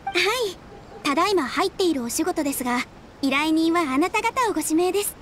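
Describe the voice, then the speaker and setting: A woman's voice: extremely high pitch, expressive, brisk, a little hiss or hum. A woman voicing a courteous, composed young guild receptionist in a Japanese anime game, with formal, helpful customer-service delivery. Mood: polite, helpful. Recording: music behind the voice.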